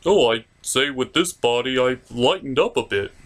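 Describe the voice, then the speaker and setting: A man's voice: medium pitch, animated, moderate pace, fairly bright tone, natural voice. A man voicing a meek, flustered, self-deprecating frog puppet in a comedy review show. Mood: meek, resigned.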